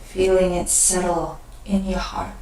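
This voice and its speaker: A woman leading a guided meditation, speaking gently and unhurriedly in short phrases with pauses between them. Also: fairly low pitch, little pitch movement. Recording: very echoey room, distant mic.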